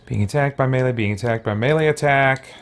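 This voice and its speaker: A man's voice: medium pitch, a little fast. A man giving casual let's play commentary as a video host, thinking aloud with muttered asides and exclamations.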